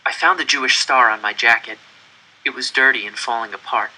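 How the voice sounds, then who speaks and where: A man reading a first-person story aloud in an expressive, deliberate, dramatic way. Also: medium pitch, rough recording. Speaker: audiobook reader.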